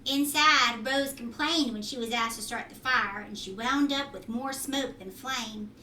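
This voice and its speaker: A woman's voice: on the high side, unhurried, pushed and bright. A woman reading a children's storybook aloud like a teacher, explaining and checking in with listeners with questions. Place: at home indoors. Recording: roomy home recording, distant mic, faint noise.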